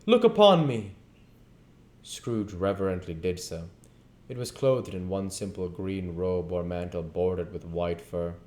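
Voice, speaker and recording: A man narrating a literary story as an audiobook reader, with deliberate, emphatic phrasing and occasional stumbles. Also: low-pitched, fairly even intonation, warm tone, a little hiss or hum.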